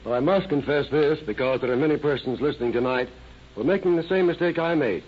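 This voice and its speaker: A man's voice: fairly high pitch, quick pace. A man giving an earnest, folksy scripted testimonial as an actor in a 1930s radio advertisement. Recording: dull old radio recording, faint noise.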